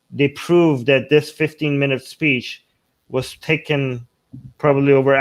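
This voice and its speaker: A man from Iran whose first language is Persian talks politics casually as a show host. His voice sits at a middle pitch. He rambles through his points, circling back to repeat and reword them. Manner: rambling, repeating and rephrasing himself.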